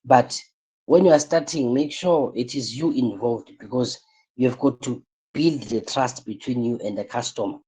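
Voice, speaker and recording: Tired husky man teaching a live class. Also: medium pitch, normal speed, mellow, poor audio. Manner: repeats points, asks rhetorical "what?" questions. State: tired, voice strained. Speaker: online business coach.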